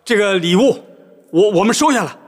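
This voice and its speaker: Casting: husky, stammering dad, middle-aged, lively inflection. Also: male voice, high-pitched. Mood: flustered. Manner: stammering, halting. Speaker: father. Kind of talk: TV drama acting.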